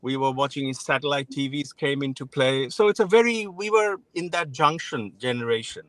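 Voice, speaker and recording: A man's voice: mid-range voice, moderate pace, fairly bright tone, no echo. Guy from bangladesh in his 40s, frank and thoughtful, lots of restarts, dry close sound. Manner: frank, reflective, with many restarts. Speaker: guest. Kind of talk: interview conversation.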